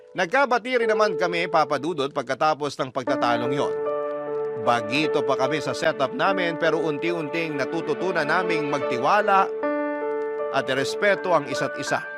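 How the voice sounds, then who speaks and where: A man reading a first-person love story as scripted radio-style narration. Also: a little high. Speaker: storyteller reading a listener's letter. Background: prominent music bed.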